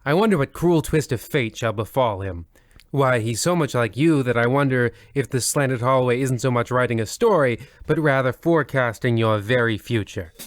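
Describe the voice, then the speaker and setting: A man's voice: medium pitch, moderate pace, dry recording, studio quality, close to an everyday voice. A man playing the host of an old-time radio mystery show, narrating in a formal, wordy, mock-solemn way. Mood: mock-ominous.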